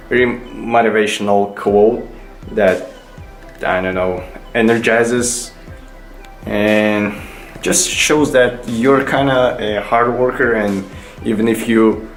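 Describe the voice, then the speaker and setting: A young man, casually explaining things in a tutorial vlog, rambling with many fillers and restarts. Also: on the low side. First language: Kazakh. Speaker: vlogger. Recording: echoey room with faint music.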